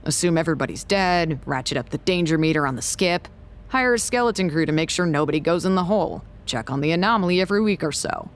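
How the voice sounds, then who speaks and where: A woman acting a guarded, suspicious researcher in an audio drama, measured, dry and skeptical. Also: on the low side, animated, close to an everyday voice. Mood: grim, reasoning.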